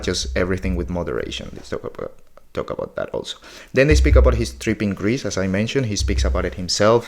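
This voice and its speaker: Blunt, foul-mouthed man in his forties. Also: low-pitched. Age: 40s. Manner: blunt, opinionated, swears often. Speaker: podcast recap host. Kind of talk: casual spoken recap.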